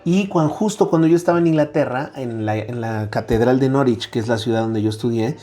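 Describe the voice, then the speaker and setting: A middle-aged man with a Mexican accent talks as an interview guest, his voice dark and mellow at an ordinary middle pitch. He thinks aloud, padding his points with "eh" and checking in with "¿no?". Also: fairly quick. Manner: reflective, frequent "eh" fillers and tag "¿no?". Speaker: guest academic. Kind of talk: conversational interview.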